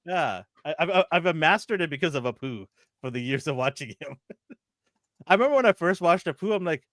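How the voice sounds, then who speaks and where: A man from Canada co-hosting a casual chat, animated, laughing often and tripping over his words. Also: fairly high pitch, a little fast, dry recording. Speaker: co-host.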